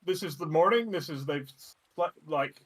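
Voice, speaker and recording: A man's voice: fairly high pitch, expressive, moderate pace, a crowd in the background. A man joining a game stream over a voice call as co-host, teasing the host and laughing often.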